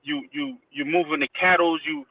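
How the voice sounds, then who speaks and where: A man's voice: a little high, fairly even intonation, normal speed, husky. A man from Haiti who moved to America as a child, chatting informally as an interview guest, using slang and repeating phrases for emphasis. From Haiti, then Connecticut from age six. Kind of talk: casual chat, personal recollection. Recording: tinny phone-like line, distant from mic.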